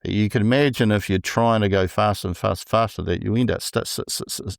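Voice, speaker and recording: A low, gravelly male voice with a New Zealand accent, talking slowly and deliberately with a stutter. He comes across as thoughtful and easygoing as a podcast guest. The recording is dry and close. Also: no room sound. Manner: slow, deliberate speech with a stutter. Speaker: podcast guest, public speaker. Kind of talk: casual interview conversation.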